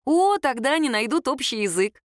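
A woman reader voicing a flustered, feisty young woman in audiobook dialogue, emotional and excitable. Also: high-pitched, brisk, dry recording, clean studio sound, pitched above the performer's own voice. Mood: eager, nervous. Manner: excitable, exclaiming.

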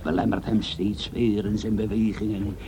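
A man's voice: lowish voice, flat delivery, normal speed, natural voice. A male actor as the solemn, dramatic storyteller of a Dutch mock-heroic radio play, delivering scripted narration. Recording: noisy radio play soundscape.

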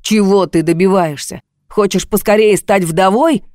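A woman's voice: medium pitch, normal speed, dark tone, no room sound, studio quality, natural voice. A woman voicing a volatile, self-pitying husband in an audiobook drama, agitated, accusing and pleading.

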